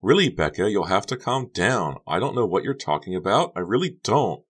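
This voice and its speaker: A man's voice: on the low side, sing-song, normal speed, slightly rough, voice pushed up. A man voicing a bewildered, wounded teenage girl in an audiobook, innocent, plaintive and protesting. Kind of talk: audiobook character dialogue.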